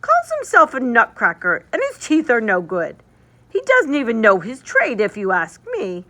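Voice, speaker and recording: A woman's voice: on the high side, wildly swooping pitch, moderate pace, sharp tone, heavily acted. A woman voicing a bossy young boy in a storybook, scornful and demanding.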